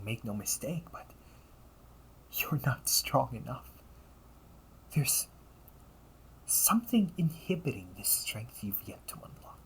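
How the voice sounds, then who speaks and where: A man playing a villainous older brother, tender, remorseful and obsessively resolved, in a hushed, deliberate audio roleplay monologue. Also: mid-range voice, breathy edge, exaggerated voice, low-quality recording. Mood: coaxing, urgent. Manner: slow, hushed, often whispering, deliberate pauses.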